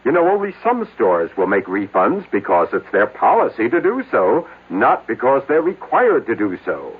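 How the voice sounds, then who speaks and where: An actor playing a helpful, instructive consumer adviser in a scripted radio ad. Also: male voice, medium pitch, huge pitch swings, moderate pace, husky. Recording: tinny phone-like radio.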